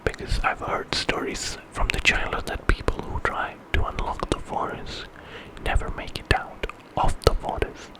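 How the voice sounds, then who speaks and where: A man's voice: normal speed, natural voice, low-quality recording. A wary village man , acted by a male storyteller, grumbles and hedges in a whisper. He is grudging and evasive, a reluctant guide in an ASMR folk tale. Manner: hesitant, grumbling.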